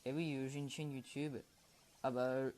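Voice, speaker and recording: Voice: mid-range voice, level tone, normal speed, darkish tone, soft background music. A young gamer, chatting casually over his gameplay in a mumbled, offhand way with lots of fillers. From Quebec, Canada. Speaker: gaming YouTuber.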